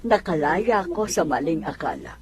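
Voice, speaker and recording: A woman's voice: sits low, big pitch swings, normal speed. An actress playing an emotional, earnest poor neighbour woman, an ailing former drinker, in a radio drama. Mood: earnest conviction.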